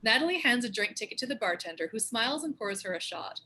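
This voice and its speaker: Bright-voiced woman reading screenplay directions. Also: on the high side, expressive, fast, husky. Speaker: stage directions narrator. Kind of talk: scripted screenplay narration.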